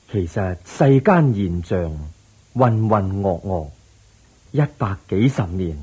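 An actor playing a serene, wise Buddha in a Cantonese radio drama, teaching patiently and solemnly with a Hong Kong accent in drawn-out phrases. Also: male voice, sits low, a little mellow, breathy edge, exaggerated voice. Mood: grave, gentle.

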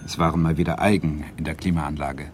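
Deep-voiced male storyteller. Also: fairly even intonation, background noise. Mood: reflective. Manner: measured, literary. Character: reflective male first-person narrator, a lecturer. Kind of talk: scripted radio play narration.

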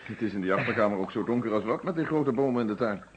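Actor as a composed police inspector, muffled old radio. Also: male voice, on the low side, animated, background noise, close to an everyday voice. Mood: relaxed, friendly. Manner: formal, deliberate, measured. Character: composed, methodical male police inspector, sceptical and businesslike. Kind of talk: Dutch radio play acting. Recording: dull lo-fi old radio drama recording.

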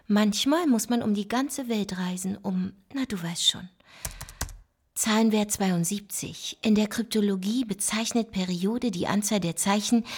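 An actress playing a knowledgeable code-cracker with dry wit in a radio play, husky and matter-of-fact. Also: female voice, mid-range voice. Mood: explaining. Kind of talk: German radio play dialogue.

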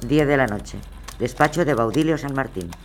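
A man's voice: mid-range voice, expressive, normal speed, a little hiss or hum. A man narrating scene headings in a scripted style for an audio drama.

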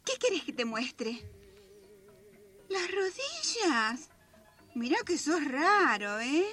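An older, sensual Argentine wife in a radio drama. Her Rioplatense speech is slow and theatrical, with big melodic swings and a bright, coquettish edge. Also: high-pitched, big pitch swings, faint music behind, heavily acted. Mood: dreamy, seductive.

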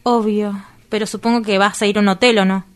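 A woman's voice: steady tone, brisk, natural voice. A woman acting a prickly, inquisitive twenty-six-year-old with ladylike airs and an Argentine accent in a radio drama. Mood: nosy, exasperated. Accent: Argentine, Rioplatense. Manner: snippy, inquisitive. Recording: noisy ambience, vehicle rumble.

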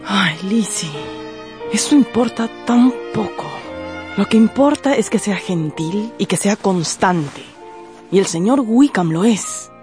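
A woman playing a gentle, sensitive young gentlewoman in a radio drama, plaintive and soft-hearted. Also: mid-range voice, animated, slow, soft background music. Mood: gently persuasive.

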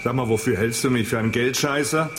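Actor as brash male businessman, mid-pitched, flippant, mocking, colloquial, radio drama, humming background noise. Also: quick pace, close to an everyday voice. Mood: indignant. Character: brash, mocking, money-minded businessman brother. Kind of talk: German radio drama acting.

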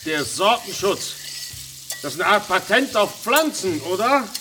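Animated, questioning chef detective. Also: male voice, sits high, unhurried. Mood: puzzled. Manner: questioning, urgent. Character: puzzled, probing male chef playing detective. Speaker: actor in a radio crime drama. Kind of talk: radio drama acting. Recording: clear frying and kitchen noise.

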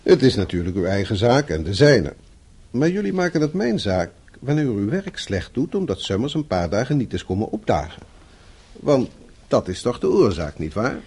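An actor playing a blunt but concerned office boss in a Dutch radio play, formal and probing, with a husky voice. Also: male voice, mid-range voice, big pitch swings, moderate pace, a little mellow. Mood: stern, concerned.

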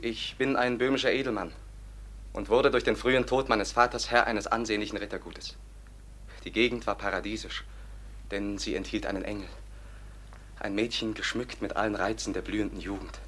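Desperate young Bohemian nobleman, husky voice, earnest and fervent radio-drama delivery. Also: fairly low pitch, fairly even intonation, moderate pace, a bit bright. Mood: fervent, tender. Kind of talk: classic German radio play acting.

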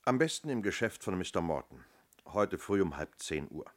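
An actor in a German radio play playing a methodical, sceptical middle-aged police inspector who questions in a clipped, probing way. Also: male voice, deep voice, sing-song, normal speed.

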